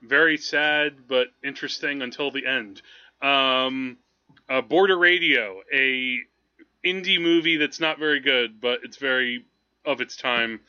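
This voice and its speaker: A man's voice: medium pitch, a little slow, pushed and bright, smooth, highs cut off. A man talking through films casually as a podcast host, in a rambling, conversational way. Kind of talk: casual film discussion.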